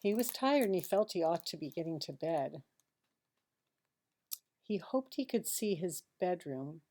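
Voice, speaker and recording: A woman reads a children's picture book aloud like a teacher, expressively, with dramatic pauses and hushed asides. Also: on the low side, lively intonation.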